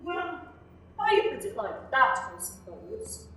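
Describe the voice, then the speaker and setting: A woman playing a dutiful, dim young alien guard given to shouting, in a comic amateur radio drama. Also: very high-pitched, very animated, full character voice. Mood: unsure, pondering. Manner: bellowed catchphrases, halting reflective answers. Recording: roomy echo with clear background noise.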